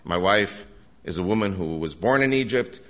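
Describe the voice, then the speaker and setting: A man's voice: low-pitched, expressive, normal speed, fairly bright tone. An older American man giving an urgent, earnest lecture to a live audience, often restarting his sentences. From United States. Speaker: guest lecturer.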